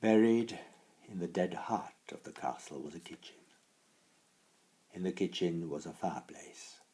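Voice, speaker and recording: Male storyteller, deep and highly varied in pitch, for dramatic dark fairy-tale narration. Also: lively intonation, a little slow. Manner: theatrical, hushed then swelling phrasing. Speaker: author reading his own story.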